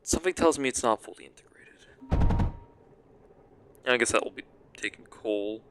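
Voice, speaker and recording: A young man with a raspy voice, casually commenting on a factory-building game as he plays and rambling, self-mocking, while he thinks aloud. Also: medium pitch, animated, normal speed, fairly bright tone, a little hiss or hum. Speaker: gaming commentator.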